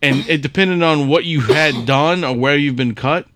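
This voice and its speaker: A man's voice: fairly high pitch, moderate pace, a bit bright, no echo. A man chatting casually as a podcast co-host, curious and often repeating his words. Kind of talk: casual chat.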